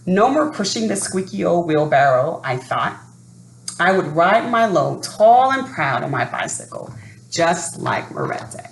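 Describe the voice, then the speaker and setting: A woman reading a children's picture book aloud in an expressive, unhurried manner. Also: on the low side. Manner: expressive, unhurried, adds asides to listeners. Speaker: storybook reader. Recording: roomy echo, faint background noise.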